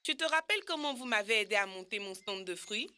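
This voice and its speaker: A woman's voice: medium pitch, lively intonation, quick pace, sharp tone. A woman voicing a cheery, exuberant monkey fruit seller in a children's cartoon. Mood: excited, proud. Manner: exuberant, exclaiming. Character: cheery monkey who runs a fruit stand. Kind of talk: French-language children's cartoon dub.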